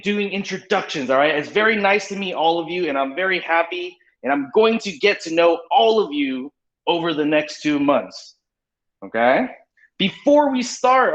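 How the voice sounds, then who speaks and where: A loud, energetic man in his thirties, from California, teaching a class over a video call. His voice sits high for a man, and the lo-fi call audio carries a roomy echo. He keeps checking in with his students as he goes. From California, USA. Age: 30s. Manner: upbeat, repeats "okay?" and "all right?" to check in. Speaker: English teacher. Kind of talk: online class instruction. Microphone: computer microphone on video call. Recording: lo-fi echoey online call audio.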